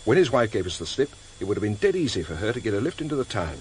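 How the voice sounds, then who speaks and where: A man playing a dogged senior detective in a radio drama, deliberate and probing. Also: fairly low pitch, big pitch swings. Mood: brooding. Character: dogged, plain-speaking senior detective on his last case. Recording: hissy vintage radio drama tape.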